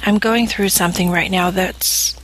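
A woman's voice: lowish voice, fairly even intonation, normal speed, fairly bright tone, husky, low-quality recording. A woman acting a guarded, evasive young former lover in an audio story, reluctant and brushing people off. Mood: reluctant, troubled. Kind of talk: audio fiction dialogue reading.

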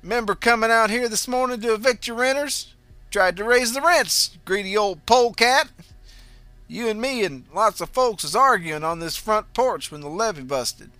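A sly, teasing elderly ghost woman, put on by a man speaks in a high, strained register with a Louisiana Creole drawl. She sounds forceful and bright, and faint music plays behind her storybook scene. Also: animated, normal speed, no room sound. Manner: drawling dialect, chuckling.

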